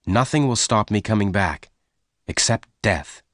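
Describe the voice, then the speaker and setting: A determined young cowboy, acted by a male narrator in a western storybook. His voice is fairly low and expressive, gentle and devoted, with a measured, earnest delivery. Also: no room sound, studio quality. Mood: reassuring.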